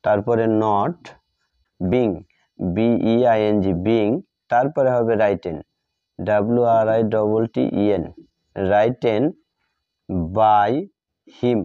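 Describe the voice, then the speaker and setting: A man's voice: fairly low pitch, fairly even intonation, drawn-out words, long pauses, mellow, clear, resonant tone, no echo. A man with a Bengali accent teaches grammar, repeating phrases and spelling words out as he writes examples. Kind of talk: classroom grammar lesson, writing out examples while explaining. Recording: dull lo-fi recording.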